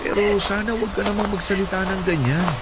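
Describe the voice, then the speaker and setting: An actor playing a devoted young boyfriend who gently reassures his sick girlfriend in a radio drama. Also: high-pitched, fairly even intonation, fairly quick, heavy background noise, natural voice. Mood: reassuring. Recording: music bed under voice.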